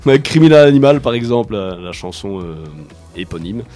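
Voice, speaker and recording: A man's voice: medium pitch, lively intonation, brisk, dark tone, soft background music. A French-speaking man, a band's songwriter, talking casually in an interview with many fillers and restarts. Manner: hesitant, many fillers and restarts, laughs easily. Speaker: musician, band songwriter.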